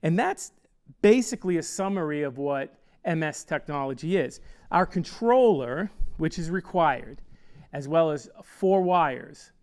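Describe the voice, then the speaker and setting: A man in his sixties, an audio designer, explaining a loudspeaker system in a technical, rambling way with fillers, on a lapel mic in a small studio. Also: on the high side, animated, unhurried. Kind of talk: product explainer. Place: small studio with wooden backdrop.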